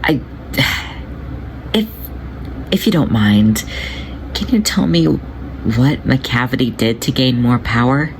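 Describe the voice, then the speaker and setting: A shy young tomcat who stumbles over his words, as a man puts him on. He asks his question hesitantly, at a middling pitch. A constant hiss of noise runs under the voice. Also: expressive. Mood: nervous. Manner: hesitant, halting. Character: young anxious male cat, shy and timid. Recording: noisy home recording.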